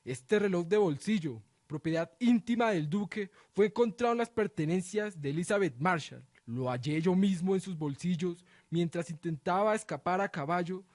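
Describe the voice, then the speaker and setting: A man's voice: sits high, normal speed, hardly any pauses, darkish tone, no echo, clean studio sound, close to an everyday voice. A man playing a zealous, solemn accuser who rouses a village against a witch in a radio drama. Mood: accusing, solemn. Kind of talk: Spanish-language radio drama acting.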